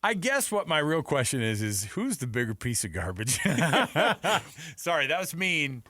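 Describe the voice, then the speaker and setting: A man's voice: fairly high pitch, sing-song, a little slow, sharp tone, no echo, studio quality. A man co-hosting a sports radio show with casual, sarcastic banter and a joking manner. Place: radio studio.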